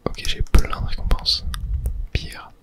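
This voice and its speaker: A man whispering casual ASMR gameplay commentary close to the microphone, breathy and muttering to himself and viewers. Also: slow pace. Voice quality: whispered, breathy, mostly unvoiced. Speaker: game streamer, ASMR video host.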